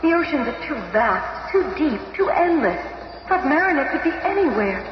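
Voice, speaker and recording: A woman's voice: high voice, big pitch swings, normal speed, lo-fi sound. A woman playing a wistful superhero heroine in 1970s radio acting style. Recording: echoey, noisy old radio recording.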